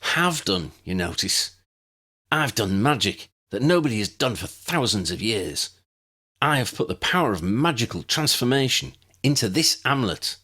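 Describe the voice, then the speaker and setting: A man's voice: mid-range voice, sing-song, moderate pace, bright, forceful tone, breathy edge, voice pushed up, full character voice. A man voicing an angry, boastful wizard villain in an audiobook, proud and defiant with a thin, weak voice. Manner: proud, defiant, with a dry cough. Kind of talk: audiobook character voice.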